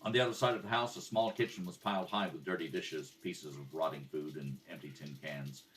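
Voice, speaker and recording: A man playing a calm, matter-of-fact police detective in a radio drama, deadpan and patient. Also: rumbling low, a little fast. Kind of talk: 1950s radio detective drama, clipped narration and dialogue. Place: kitchen at home. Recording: homemade, distant mic with background noise.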